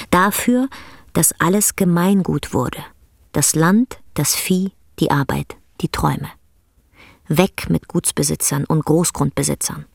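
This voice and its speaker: A woman narrating a literary radio drama as a sober, measured storyteller. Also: lowish voice, vocal fry, dry recording, studio quality. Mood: intense.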